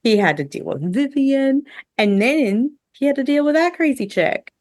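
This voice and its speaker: A woman's voice: high-pitched, lively intonation, moderate pace, darkish tone, no echo, studio quality. A woman podcast host chatting casually and giving her opinions, with a lot of "like" thrown in. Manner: chatty, heavy use of "like", opinionated. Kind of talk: casual review chat among co-hosts.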